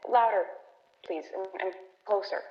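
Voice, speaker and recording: A woman's voice: mid-range voice, a little slow, lightly acted. A woman acting an earnest, eager radio field reporter in a stage play of a news broadcast. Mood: impatient, urgent. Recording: dull, roomy, echoey stage recording.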